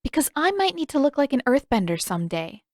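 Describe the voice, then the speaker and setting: A woman's voice: high voice, big pitch swings, fast, darkish tone, no echo, clean studio sound, voice pushed up. A woman voicing a stubborn, exasperated teenage girl with an indignant, emphatic delivery in a story reading. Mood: defensive.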